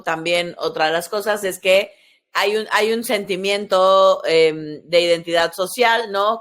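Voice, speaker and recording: A woman in her forties with a Mexican accent speaks as a podcast host. She explains things conversationally, step by step, and often adds short questioning tags. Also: fairly low pitch.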